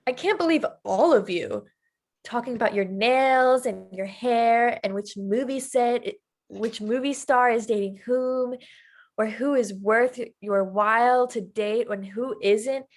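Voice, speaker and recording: A woman's voice: a little high, a little slow. A woman reading the part of a fed-up teenage girl confronting her friends. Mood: indignant. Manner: indignant, confrontational. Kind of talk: table read of a teen drama.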